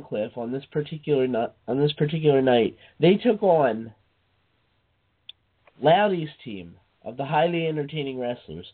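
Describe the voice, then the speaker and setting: A man's voice: a little high, breathy edge. A man with a head cold and stuffy, congested voice, chatting as a radio show host. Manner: chatty, repeats himself, addresses co-host by name. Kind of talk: casual wrestling radio talk. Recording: tinny phone-like line.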